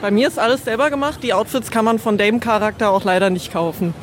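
A woman's voice: mid-range voice, quick pace, slight background noise. A woman cosplayer giving short, matter-of-fact answers in an interview outdoors at a convention.

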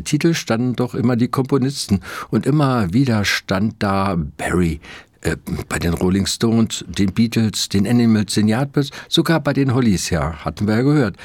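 A man's voice: on the low side, no echo. A man working as a music editor on radio, chatting enthusiastically about music history with many filler sounds. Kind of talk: radio feature chat. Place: radio studio. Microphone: studio mic.

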